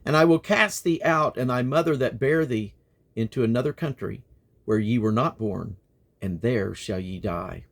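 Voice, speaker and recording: A man reading scripture aloud in a solemn, declamatory manner, with measured phrasing and plainly spoken references. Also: medium pitch, gravelly. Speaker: Bible reader and host.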